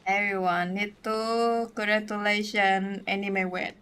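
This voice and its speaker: A woman's voice: mid-range voice, level tone, measured pace, fairly bright tone, clean tone. A woman from Vietnam with a Vietnamese accent, chatting casually with viewers on a live stream in broken English and laughing often.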